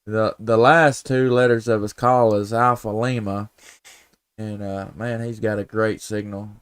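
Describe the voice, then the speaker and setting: Smooth-voiced man chatting on ham radio. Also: on the low side, flat delivery, a little slow, no room sound. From United States. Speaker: amateur radio operator, live stream host. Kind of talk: casual radio contact chat.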